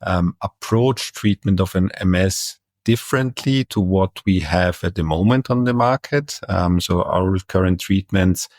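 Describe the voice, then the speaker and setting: A man's voice: low-pitched, measured pace, hardly any pauses, darkish tone, no echo, studio quality. A middle-aged man from Austria with an Austrian German accent, a neurologist answering interview questions in a hesitant way with frequent restarts. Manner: hesitant, many restarts and fillers. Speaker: neurologist and guest.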